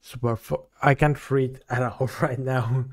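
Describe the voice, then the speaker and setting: A man's voice: medium pitch, steady tone, a little slow. A drunk man with a Finnish accent, a streamer giving crude, joking reaction commentary. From Finland. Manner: crude, swearing, joking, laughing.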